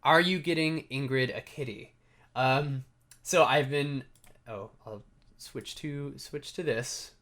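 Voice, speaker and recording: A man's voice: medium pitch, takes it slowly, fairly bright tone. Young guy vlogger, bit of a scratchy voice, casual q&a chat, tells little stories and does the quotes. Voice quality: slightly scratchy. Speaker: video blogger answering viewer questions. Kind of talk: casual chat to camera with storytelling.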